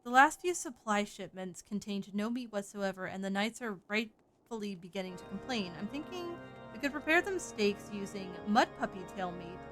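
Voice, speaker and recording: A woman reading aloud the lines of a gruff, old-fashioned army camp cook from a game, in a near-everyday voice. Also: medium pitch, lively intonation, normal speed, music in the background, lightly acted. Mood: matter-of-fact.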